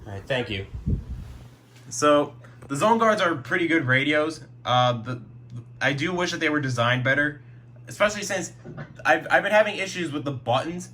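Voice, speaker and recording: A man's voice: a little high, animated, normal speed, pauses often, bright, forceful tone. A man casually showing off his radio collection at home, rambling, restarting sentences and swearing now and then. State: throat getting sore. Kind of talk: casual show-and-tell vlog. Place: at home at night.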